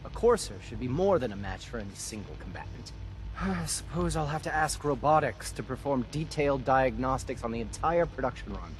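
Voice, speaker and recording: A man's voice: mid-range voice, expressive, a little fast, faint music behind, natural voice, low-quality recording. An actor playing a suspicious, condescending male security director with a clipped, officious manner in a video game. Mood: stern, irritated. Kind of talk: video game dialogue acting.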